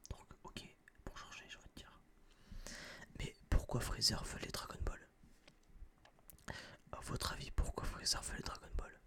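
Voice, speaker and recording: A young man whispering close to a binaural microphone in a casual, rambling, blunt ASMR review style, with a breathy, unvoiced voice. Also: moderate pace, pauses often. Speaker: ASMR channel host reviewing a film.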